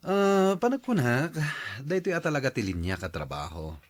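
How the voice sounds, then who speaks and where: A man acting as an ambitious family man in a radio drama, with an Ilocano Filipino accent. His mid-pitched voice moves up and down freely, expressive and cheerful. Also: moderate pace. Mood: proud. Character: ambitious, cheerful husband and insurance salesman. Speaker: actor in an Ilocano audio drama. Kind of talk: acted radio drama dialogue.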